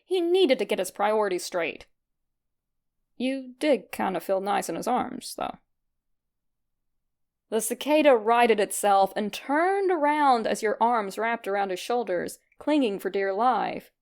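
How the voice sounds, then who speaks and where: A woman reading fan fiction aloud as an expressive, scripted story narration. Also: medium pitch, a little slow. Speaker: fan fiction podfic reader.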